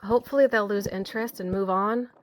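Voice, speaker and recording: A woman's voice: mid-range voice, fairly even intonation, fast talker, a little hiss or hum, natural voice. An actress playing a practical, worried woman in an audio drama.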